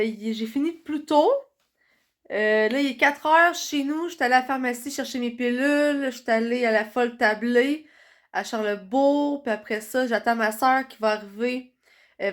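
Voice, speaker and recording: A young woman with a Quebec French accent, chatting excitedly in a casual vlog while congested from a cold. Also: on the high side. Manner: chatty and excitable, many fillers. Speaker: vlogger.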